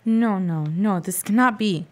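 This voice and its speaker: A woman's voice: mid-range voice, a little slow, a little mellow, lightly acted. A woman playing a humble, awed male mountain guide in a radio drama, with stilted, reverent phrasing. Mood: disbelieving. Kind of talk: old-time radio drama acting. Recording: clear background ambience noise.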